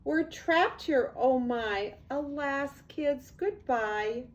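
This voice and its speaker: A woman's voice: sits high, drawn-out words, voice pushed up. A grandmother voicing a panicking woman schoolteacher, wailing in theatrical despair as part of a storybook reading. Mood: panicked despair.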